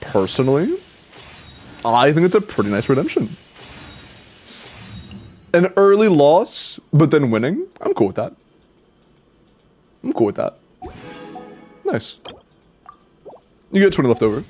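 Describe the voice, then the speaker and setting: A man's voice: on the high side, wildly swooping pitch, unhurried, pauses often, a little mellow, slight background noise. A young man streaming a game and chatting casually with viewers, sounding stuffy and congested, rambling with restarts, casual swearing and laughs. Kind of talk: casual livestream chat with viewers.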